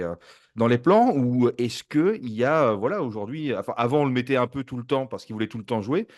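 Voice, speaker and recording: A man hosting a casual football fan show, halting and wordy, often circling back to rephrase. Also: mid-range voice, darkish tone. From France. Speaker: host of a football fan channel. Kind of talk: casual sports chat.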